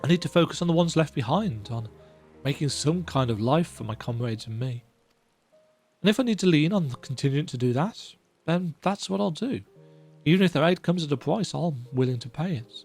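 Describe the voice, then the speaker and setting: A tired young soldier, played by a woman, earnest and quietly resigned, his delivery rising and falling in lively swings. Soft music sits underneath. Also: low voice, fairly quick, husky, full character voice. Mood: weary earnest. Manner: earnest, reflective, softly resigned.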